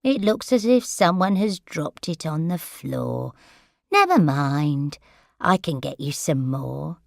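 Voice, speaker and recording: A woman reading the part of a muddled elderly woman, talking slowly in a wandering, confused way, in a dry recording. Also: deep voice, very animated, creaky, no echo, full character voice. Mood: bemused. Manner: slow, wandering, confused speech.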